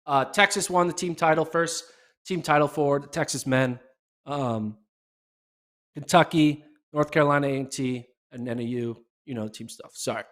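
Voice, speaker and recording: A man hosting a casual solo podcast recap, chatty and excitable, with a voice going hoarse. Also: on the high side, normal speed. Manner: excitable, chatty, many fillers. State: losing his voice. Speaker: podcast host.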